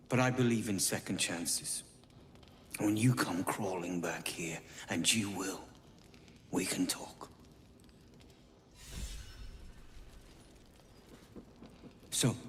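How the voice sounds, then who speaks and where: A man voicing a ruthless bomber bent on revenge in a video game, menacing and commanding. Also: lowish voice. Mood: menacing, impatient. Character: ruthless male bomber plotting violent revenge. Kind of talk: video game dramatic dialogue. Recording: noisy ambience behind the voice.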